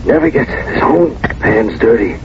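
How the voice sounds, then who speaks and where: An actor playing a dying, vengeful prisoner of war in a radio drama, gasping out insistent demands in a breathy hiss. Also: male voice, medium pitch, wildly swooping pitch, measured pace, exaggerated voice. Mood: dying, pleading. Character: dying, vengeful male prisoner-of-war, haunting his friend. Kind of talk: 1970s radio drama acting. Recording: muffled, noisy old radio broadcast.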